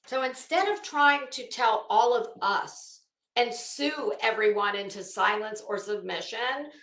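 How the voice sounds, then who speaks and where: A woman's voice: on the high side, a little slow, fairly bright tone, roomy sound, poor audio. A woman livestream host giving casual, sardonic commentary with theatrical, emphatic readings. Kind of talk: casual commentary with dramatic reading of a legal document.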